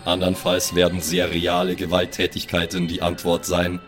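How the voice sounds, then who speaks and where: Deep, formal killer robot voiced by a man. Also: completely flat delivery, soft background music, close to an everyday voice. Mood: politely threatening. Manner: formal, stilted, measured. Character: polite, threatening male killer robot. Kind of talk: improvised audio play.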